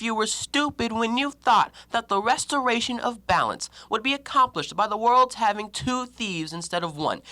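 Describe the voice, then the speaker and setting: An actor as a scornful, animated intellectual, bright-voiced. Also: medium pitch. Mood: scornful, heated. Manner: formal, articulate, theatrical delivery. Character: proud, shrewd, scornful educated man in confrontation. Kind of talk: stage-style audio play acting.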